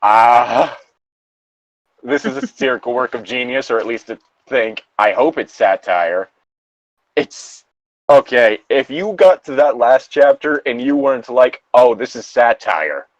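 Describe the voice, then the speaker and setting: Male casual commentator, incredulous and opinionated, lively pitch swings, hesitant phrasing; dry, slightly muffled lo-fi sound. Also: unhurried, dry recording. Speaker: co-host reacting to fan fiction and its reviews. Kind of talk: casual commentary with reading aloud.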